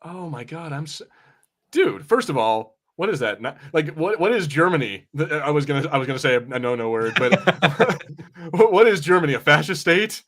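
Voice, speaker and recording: A man co-hosting a podcast, chatting informally in a halting way with slang and swearing. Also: fairly high pitch, expressive, fairly bright tone. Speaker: podcast co-host. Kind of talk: casual film chat on a watch party.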